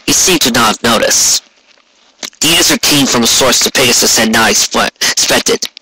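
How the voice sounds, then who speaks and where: A man's voice: mid-range voice, moderate pace, sharp tone, poor audio. A man reading scripted story narration on an amateur recording with noise and clicks. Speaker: audiobook reader. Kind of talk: scripted fan fiction narration.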